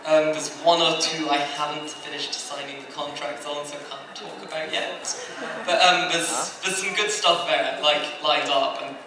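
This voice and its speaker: A man's voice: a little high, steady tone, normal speed. A man giving an informal, self-deprecating, rambling talk as a guest on a convention panel. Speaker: anime acquisitions head, panel guest. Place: large convention panel room. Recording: roomy echo, distant mic, background noise.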